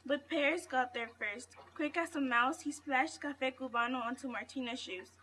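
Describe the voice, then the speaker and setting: A woman's voice: high-pitched, moderate pace, bright, forceful tone. A woman reading a folktale aloud as a storybook, with faint noise in the background. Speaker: reader at a read-aloud event. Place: school library.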